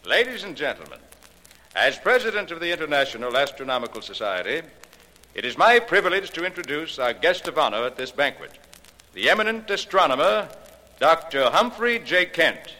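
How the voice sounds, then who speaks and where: Bright, ceremonious society president. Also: male voice, fairly high pitch, big pitch swings, fairly quick, heavily acted. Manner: grand, formal, oratorical. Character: ceremonious male society president presenting an honor. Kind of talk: 1940s radio drama acting.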